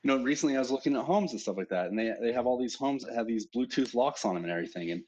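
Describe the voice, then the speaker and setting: A man's voice: mid-range voice, animated, very fast, a little mellow, a little hiss or hum. A man co-hosting a live security stream, speaking casually with lots of fillers and repeated words. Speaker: threat researcher co-host.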